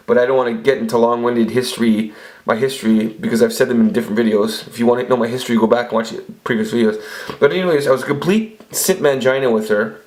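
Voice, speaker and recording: A man's voice: mid-range voice, darkish tone. Profane rambling man in his forties. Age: 40s. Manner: crude, profane, rambling storytelling with frequent restarts. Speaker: vlogger. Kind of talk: rambling casual monologue.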